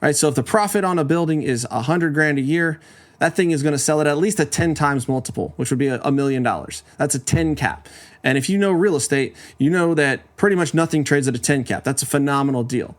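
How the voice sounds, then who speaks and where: A man's voice: on the high side, brisk. 40s guy on a podcast walking through the numbers, chatty, keeps asking "right?". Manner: conversational, explains numbers step by step, asks rhetorical questions. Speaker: podcast host. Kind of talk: casual podcast monologue.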